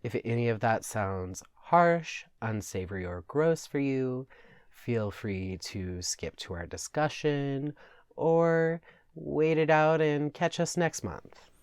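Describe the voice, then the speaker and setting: A man's voice: medium pitch, slow pace, clear, resonant tone, dry recording, studio quality. A man hosting a podcast and reading fan fiction aloud in a scripted narration style. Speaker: podcast host and story reader.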